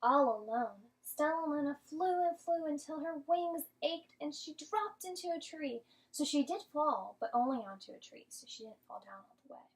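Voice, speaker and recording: A woman teacher giving an interactive storybook read-aloud to young children, expressive and patient, often asking and explaining. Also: high-pitched, moderate pace, few pauses, rough recording.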